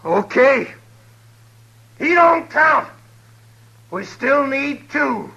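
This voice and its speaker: An actor playing a vengeful, taunting gang leader in an old western radio drama. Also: male voice, very high-pitched, very animated, extremely slow, creaky, sharp tone, dull audio, full character voice. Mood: cold menace. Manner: menacing, taunting. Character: vengeful, sneering male outlaw gang leader.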